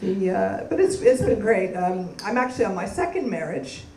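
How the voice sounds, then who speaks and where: A woman from Canada doing a stand-up comedy set, delivering deadpan punchlines and bantering with the crowd on a club stage. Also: mid-range voice, normal speed, background noise. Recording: roomy live club sound from a distance with crowd laughter.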